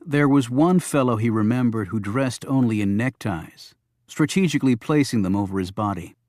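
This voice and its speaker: A man's voice: medium pitch, normal speed, a little mellow, dry recording, clean studio sound. A man reading scripted thriller narration as an audiobook narrator.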